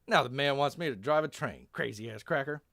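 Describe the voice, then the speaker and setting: A man's voice: mid-range voice, fairly quick, vocal fry, pushed and bright, dry recording, studio quality. A man voicing a reluctant, grumbling army private in a war story, agitated and hesitant.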